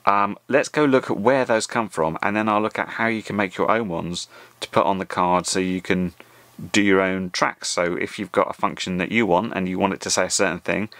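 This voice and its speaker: A man's voice: deep voice, fairly even intonation, fairly quick, fairly bright tone, dry recording. A middle-aged man narrating a casual tutorial in an informal, explanatory, conversational way. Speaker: host of an RC radio tutorial.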